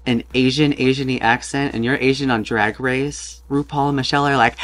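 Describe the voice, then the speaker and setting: A man who performs as a drag queen, chatting casually as a guest with quick jokes and asides, on a video call from a costume-filled room. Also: medium pitch, fairly even intonation, fairly bright tone, faint music behind. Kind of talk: casual comedic chat. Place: home room with costumes behind.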